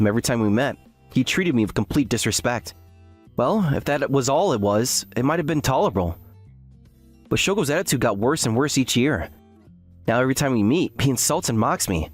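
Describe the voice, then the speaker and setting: The hapless, aggrieved student hero narrating an animated campus tale, acted by a man, voice rising and falling over quiet music. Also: medium pitch, brisk, close to an everyday voice. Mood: regretful. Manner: aggrieved, self-deprecating. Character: earnest, hapless male graduate student telling his story. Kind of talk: first-person scripted narration with acted dialogue.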